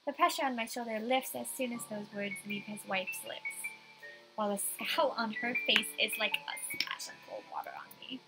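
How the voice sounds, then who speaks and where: A woman's voice: fairly high pitch, sing-song, normal speed, over music, poor audio. A young woman reading a visual novel aloud as dramatic first-person story narration.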